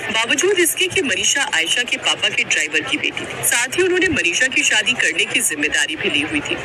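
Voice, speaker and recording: A woman's voice: medium pitch, sing-song, a little fast, crowd noise, low-quality recording. A woman giving scripted narration as the storyteller of a Hindi cartoon tale.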